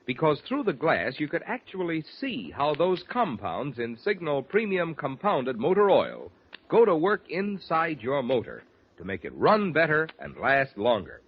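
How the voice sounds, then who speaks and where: A man's voice: on the high side, wildly swooping pitch, a little slow, husky. A man announcing a 1940s radio commercial with folksy, emphatic salesmanship. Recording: dull vintage radio recording.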